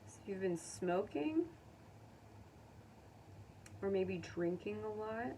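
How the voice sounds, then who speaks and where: A woman giving a casual tarot reading to viewers, speaking gently and consolingly in an informal way. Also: medium pitch, expressive, takes it slowly. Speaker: tarot reader. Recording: distant mic, faint background noise.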